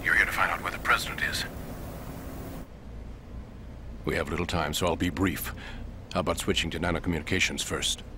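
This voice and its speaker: An actor playing a male covert agent in a video game, explaining secrets in an assured, measured manner. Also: deep voice, steady tone, background noise, lightly acted. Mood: hushed, wary. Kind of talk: video game cutscene acting, expository.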